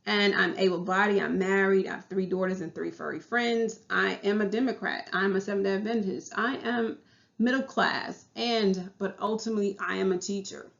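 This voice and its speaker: A Southern-accented woman teacher talks through her class presentation in an earnest, restarting way, her voice slightly gravelly at mid pitch. The recording sounds dull and lo-fi. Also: steady tone, normal speed, a bit bright. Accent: Southern US English. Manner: earnest, repeats and restarts phrases.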